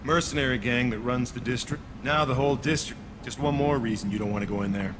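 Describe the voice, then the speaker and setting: A man's voice: mid-range voice, quick pace, close to an everyday voice. Man acting a brusque jaded alien mercenary guard. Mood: sardonic, jaded. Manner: brusque, sardonic. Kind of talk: video game voice acting. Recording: noisy street ambience behind voice.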